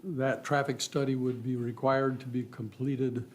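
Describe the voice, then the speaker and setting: A man's voice: mid-range voice, little pitch movement, a little hiss or hum. A man on a commission, methodically listing concerns and asking questions at a meeting. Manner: deliberate, lists points methodically.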